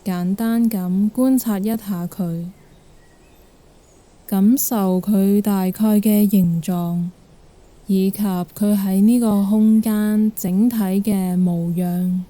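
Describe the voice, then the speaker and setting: A woman with a Hong Kong Cantonese accent guiding a meditation in a gentle, calm manner. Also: medium pitch. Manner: gentle, calm, unhurried instruction. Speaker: guided meditation instructor. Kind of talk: guided meditation narration.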